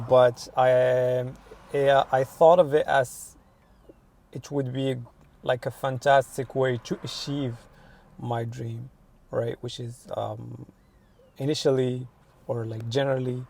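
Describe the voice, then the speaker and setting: A man's voice: medium pitch, vocal fry, darkish tone. A man in his twenties from Morocco, answering interview questions casually, with many restarts and "like"s. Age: 20s. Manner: hesitant, restarts often, uses "like" and "you know". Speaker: interview guest.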